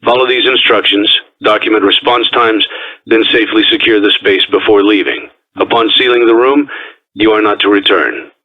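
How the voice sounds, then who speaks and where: An actor playing a calm, ominous businessman in a video game, reading formal, measured instructions through an old tape filter. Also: lowish voice. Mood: flat, procedural. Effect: old cassette tape, radio-like filter. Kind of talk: scripted instructional tape narration. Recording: tinny, phone-like old tape recording.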